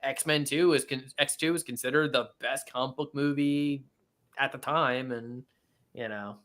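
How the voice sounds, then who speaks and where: Bright-voiced man rambling casually. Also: medium pitch, steady tone, a little slow. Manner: rambling and conversational, hedged with filler phrases. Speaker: guest co-commentator. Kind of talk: casual film chat.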